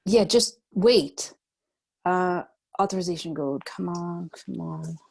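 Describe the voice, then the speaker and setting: Woman playing a bounty cop, lowish voice, dry, clipped, self-assured, table-read drama, close dry sound. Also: slow pace, gravelly, a little mellow, no room sound, full character voice. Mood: tense. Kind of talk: screenplay table read acting.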